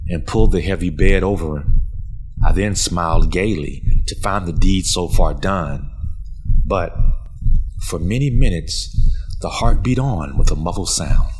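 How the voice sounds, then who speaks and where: A man playing an obsessive, paranoid murderer in dramatic gothic horror narration, theatrical and emphatic, repeating his words. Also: sits low. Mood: frenzied. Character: mad, obsessive murderer confessing, paranoid and gleeful.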